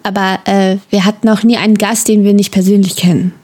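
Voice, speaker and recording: A woman's voice: medium pitch, little pitch movement, fairly quick, darkish tone. A woman co-hosting a casual podcast chat, explaining things in a teasing manner. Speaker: podcast co-host.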